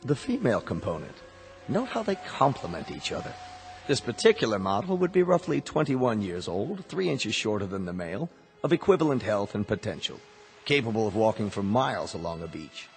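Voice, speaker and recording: An actor playing a smooth company salesman in a radio drama, with polished, reassuring patter. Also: lowish voice, big pitch swings, brisk. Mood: proud, selling. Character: smooth persuasive salesman of replacement android bodies. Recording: hall reverb with music behind.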